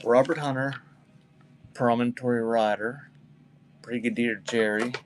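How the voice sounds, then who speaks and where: A man's voice: mid-range voice, fairly even intonation, measured pace, low-quality recording. A man hosting a casual live sale, rambling and joking wryly with the chat between reading out items. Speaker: live auction seller, show host. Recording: clear background noise.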